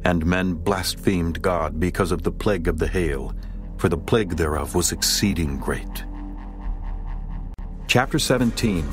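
A man narrating scripture in a slow, solemn, stately reading over music. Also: deep voice.

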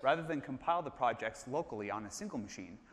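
Man demoing software on a reverberant stage. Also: medium pitch, fast talker. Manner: steady explanatory, addresses colleagues by name. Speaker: product presenter. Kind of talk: live stage product demo. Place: large stage, hall. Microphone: lapel mic. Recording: reverberant hall.

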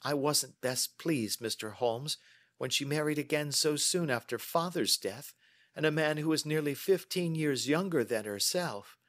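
A man's voice: on the high side, expressive, measured pace, fairly bright tone, no room sound, studio quality, voice pushed up. A man voicing an anxious, chatty young female client in an audiobook, with a flustered, rambling manner. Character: anxious, good-humored, chatty large young woman client. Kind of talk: audiobook character dialogue.

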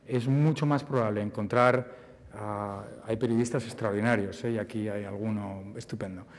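A man's voice: fairly low pitch, steady tone, moderate pace. A man in his forties answering audience questions at a conference podium, thoughtful and repeating words as he searches for phrasing. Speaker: conference speaker. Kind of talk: Q&A answer at a forum. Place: large banquet hall. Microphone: podium mic. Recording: hall reverb.

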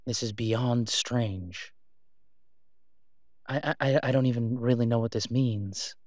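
Guy playing a worried loving boyfriend in an audio rp, earnest n halting, mid pitched male voice, no room sound. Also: a little mellow, no room sound, studio quality, close to an everyday voice. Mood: incredulous, uneasy. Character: worried, devoted human boyfriend of a werewolf. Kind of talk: intimate audio roleplay drama.

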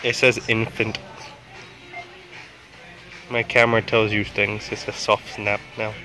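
A man's voice: fairly low pitch, flat delivery, slow pace, sharp tone, faint music behind. A young man filming a family vlog in a supermarket, chatting casually with jokey, teasing banter. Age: young adult. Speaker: vlogger, father. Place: large supermarket, indoors. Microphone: handheld camera held by the filmer.

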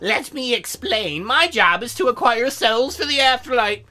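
A man voicing a lonely, prim young female demon imp in a visual novel game, earnest and halting. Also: very high voice, lively intonation, bright, forceful tone, soft background music, full character voice. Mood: explaining.

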